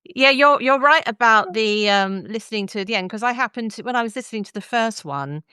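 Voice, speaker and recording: A woman hosting a conversational review, talking in a measured, thoughtful way. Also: on the high side, lively intonation, pushed and bright, clean tone, no echo, studio quality. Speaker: podcast host.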